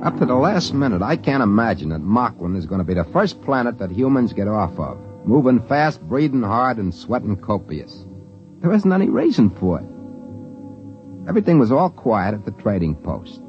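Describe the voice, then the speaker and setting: A man's voice: fairly low pitch, sing-song, moderate pace. An actor playing an easygoing, folksy trading-post man who narrates a 1950s radio drama in colloquial speech. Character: easygoing folksy male trading-post assistant manager in space. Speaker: narrator and lead character. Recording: dull 1950s radio recording with music.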